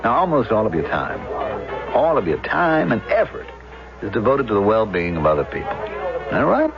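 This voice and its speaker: A man's voice: lowish voice, wildly swooping pitch, normal speed. An actor playing a pompous, talkative middle-aged man in a 1970s radio drama, expansive and insistent. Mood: insistent, effusive. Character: pompous, garrulous middle-aged man who plays invented roles. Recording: dull vintage radio, loud music behind.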